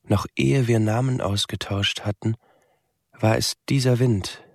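An actor playing a withdrawn, melancholy young man who narrates a radio drama in measured, halting short phrases. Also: lowish voice, fairly even intonation, vocal fry, dry recording, studio quality. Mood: reflective. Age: young adult. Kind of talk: literary radio drama monologue.

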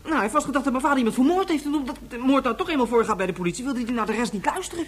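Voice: high-pitched, expressive, rapid-fire. An actor playing an insistent, worried young boy in a science-fiction radio play. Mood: urgent, pleading. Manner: insistent, breathless rush of questions and pleas.

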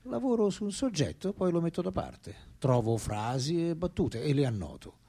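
Intense philosopher with sweeping intonation. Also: male voice, on the high side, sing-song, creaky, warm tone, breathy edge, exaggerated voice. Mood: weary, reflective. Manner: theatrical, deliberate, emphatic. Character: famous philosopher as old man, wry, intense, self-absorbed. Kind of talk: radio drama acting.

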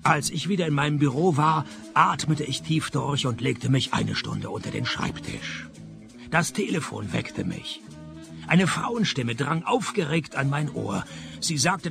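An actor playing a sardonic, world-weary private detective in a comic noir radio play, speaking dryly. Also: male voice, on the high side, creaky, full character voice. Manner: dry, deadpan, sarcastic.

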